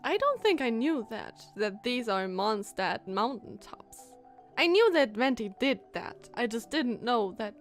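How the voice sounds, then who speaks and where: A woman streamer with a high voice gives casual game commentary. She is chatty and reactive, with faint music behind her. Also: very animated, a little slow. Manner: chatty, reacts to dialogue, giggly.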